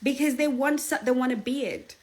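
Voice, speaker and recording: A woman's voice: high-pitched, normal speed. A woman from Zimbabwe with a Zimbabwean accent, a relationship coach giving emphatic casual advice and often checking in with her listeners. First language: Shona. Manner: emphatic, lively, laughs often, repeats "okay?" and "do you understand?".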